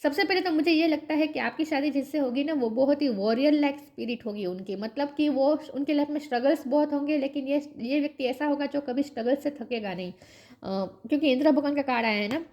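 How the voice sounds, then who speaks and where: A woman's voice: sits high, a little mellow. A woman with a sore, coughing throat, giving a tarot reading to her viewers and chanting between card draws. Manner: chants a mantra between card draws, slow repeated questions. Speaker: tarot reader.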